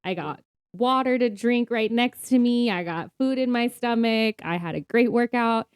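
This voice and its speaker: A woman's voice: fairly high pitch, normal speed, clear, resonant tone, dry recording, clean studio sound. A woman co-hosting a podcast in casual chat, with an upbeat manner and a readiness to laugh as she talks. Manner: upbeat, laughs often while talking. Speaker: podcast co-host.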